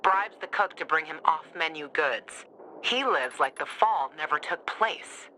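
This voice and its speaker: A woman's voice: deep voice, lively intonation, a little slow, pushed and bright, heavily acted. Streetwise female ally, tough and teasing, blunt delivery, noisy background, video game.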